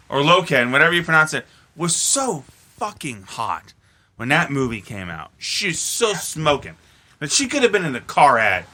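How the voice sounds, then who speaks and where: A man's voice: fairly high pitch, bright, forceful tone. A man co-hosting a podcast in casual, profane chat, speaking emphatically and repeating phrases for effect. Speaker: podcast co-host.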